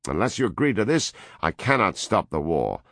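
A man voicing a domineering male world president, loud, preaching and dictatorial. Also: medium pitch, big pitch swings, slow pace, dry recording, clean studio sound, pitched above the performer's own voice, heavily acted.

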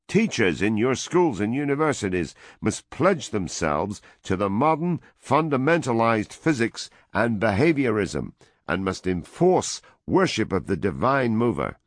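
A man voicing a domineering male world president, loud, preaching and dictatorial. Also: medium pitch, very animated, takes it slowly, no room sound, studio quality, voice pushed up, exaggerated voice.

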